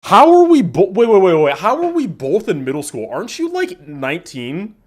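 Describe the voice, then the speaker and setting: A man in his twenties chatting casually with his live-stream chat, laughing and swearing in quick asides. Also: high-pitched, wildly swooping pitch. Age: 20s.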